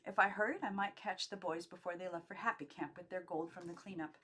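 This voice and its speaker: A woman narrating a memoir as an amateur audiobook reader, with expressive, emphatic storytelling phrasing. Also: lowish voice, fast talker, breathy edge.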